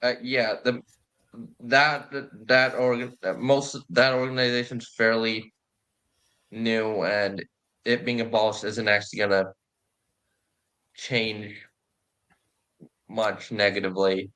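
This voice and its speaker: Bright-voiced Californian man chatting politics. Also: fairly low pitch, little pitch movement, takes it slowly, long pauses, rough recording. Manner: conversational, restarts mid-sentence. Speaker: stream host. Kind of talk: political commentary chat.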